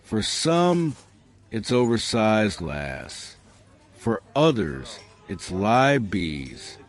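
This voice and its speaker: A man narrating a scripted comic story with dramatic, deadpan delivery and deliberate pauses. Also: fairly low pitch.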